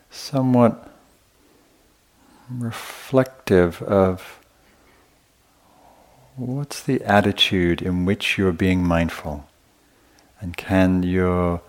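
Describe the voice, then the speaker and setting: Slow, low-voiced man guiding meditation. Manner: gentle, unhurried, pausing often between short phrases. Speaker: meditation teacher. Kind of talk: guided meditation talk at a retreat.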